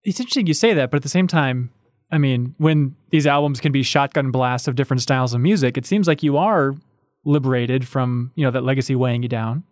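A man's voice: medium pitch, a little fast, no echo, clean studio sound. A man hosting a podcast, asking long, enthusiastic questions. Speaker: podcast host. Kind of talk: podcast interview.

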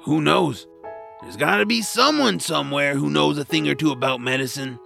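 A man's voice: on the high side, moderate pace, gravelly, bright, forceful tone, soft background music, exaggerated voice. A man acting a grumpy, sarcastic swamp creature who likes his naps, in an audio drama. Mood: grumbling. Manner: blunt, sarcastic, grumbling. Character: grumpy nap-loving male swamp creature, gruff reluctant rescuer.

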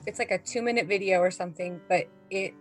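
Animated woman in her thirties, music behind. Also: mid-range voice, fast talker. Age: 30s. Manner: animated, swearing, exclaiming. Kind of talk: casual group chat.

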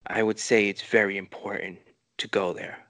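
A man's voice: on the low side, steady tone, moderate pace, fairly bright tone, no room sound. A middle-aged man with a New York accent, a filmmaker rambling through interview answers with many restarts and "you know" fillers. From New York City. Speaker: filmmaker guest.

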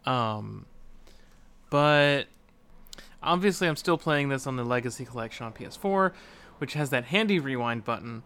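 A man co-hosting a podcast, casually discussing video games and griping in an animated way with casual swearing. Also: medium pitch, moderate pace, pauses often, clean tone. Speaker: podcast co-host.

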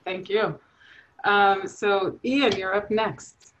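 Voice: medium pitch, steady tone, slow pace, fairly bright tone, rough recording. A moderator speaking briefly and casually to panel speakers. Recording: clear background noise, clicks.

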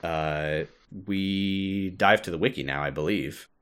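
A man's voice: deep voice, takes it slowly, a bit bright, clean tone. A man hosting a podcast, chatting casually and emphatically about video game lore like a devoted fan. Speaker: podcast host.

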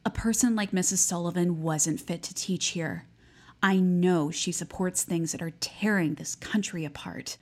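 A woman playing a pious, bossy high school girl in an audio drama, earnest and insistent. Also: moderate pace, natural voice. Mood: righteous, earnest. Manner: earnest, insistent, self-righteous. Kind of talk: naturalistic audio drama acting.

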